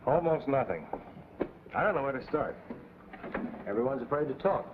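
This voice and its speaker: An actor playing a grim, worried undercover field agent in a 1950s TV drama, briefing earnestly and urgently. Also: male voice, medium pitch, animated, moderate pace, lightly acted. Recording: tinny, noisy vintage TV soundtrack.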